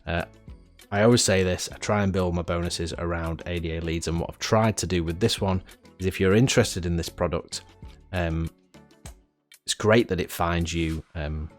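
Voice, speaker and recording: Deep-voiced man with a cold. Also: steady tone, normal speed, faint music behind. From United Kingdom. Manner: chatty, frequent "uh" fillers and restarts. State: has a head cold, sniffling. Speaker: product reviewer. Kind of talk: casual product review to camera.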